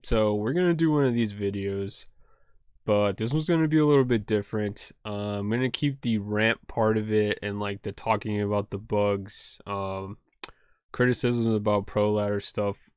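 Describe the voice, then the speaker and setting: A man's voice: fairly low pitch, steady tone, moderate pace, a little mellow, smooth, no echo, clean studio sound. A young man talking casually to camera at home, rambling with lots of fillers and poking fun at himself. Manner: rambling, many fillers and "like", self-deprecating. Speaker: card game content creator.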